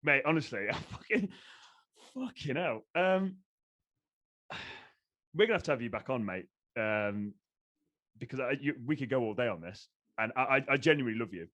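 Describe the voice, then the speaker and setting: A man's voice: medium pitch, lively intonation, moderate pace, pauses often, fairly bright tone. A man hosting a podcast, speaking with enthusiasm and swearing freely. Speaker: podcast host. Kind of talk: podcast conversation.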